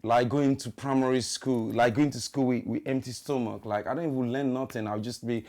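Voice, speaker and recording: A man's voice: mid-range voice, steady tone, fairly quick, darkish tone. Nigerian man chatting loosely. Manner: loose, repeats "you know what I mean". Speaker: musician guest. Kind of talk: casual interview chat.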